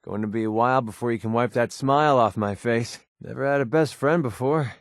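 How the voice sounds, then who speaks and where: A man's voice: mid-range voice, brisk, no room sound, clean studio sound, close to an everyday voice. A man voicing a young, wisecracking mercenary companion in a video game, with an American accent and an informal, sardonic delivery. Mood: upbeat, friendly.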